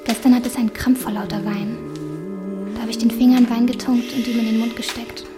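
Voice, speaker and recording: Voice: mid-range voice, little pitch movement, a little fast, music in the background, close to an everyday voice. A young performer playing an anxious, earnest thirteen-year-old village girl, worried and insistent, in a radio drama.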